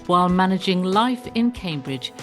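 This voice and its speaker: A woman's voice: on the low side, lively intonation, moderate pace, faint music behind. A woman radio host introducing her guest and asking questions in a formal, welcoming way. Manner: warm formal introduction, brief backchannel replies.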